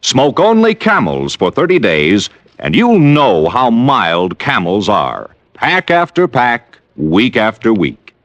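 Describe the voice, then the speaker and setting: Animated old-time radio pitchman. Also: takes it slowly, few pauses, muffled recording. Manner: emphatic sales pitch. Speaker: commercial announcer. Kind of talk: scripted 1940s-50s radio advertising.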